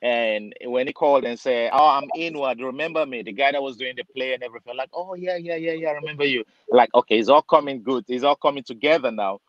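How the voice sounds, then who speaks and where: A man from Nigeria who works as a barber tells a long story on a video call. He talks chattily, fills it with "um" and "like", and slips into the voices of the people in it. His mid-low voice comes through a dull, dry, lo-fi webcam line. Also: fast, few pauses, no room sound. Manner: chatty, acts out dialogue, many fillers.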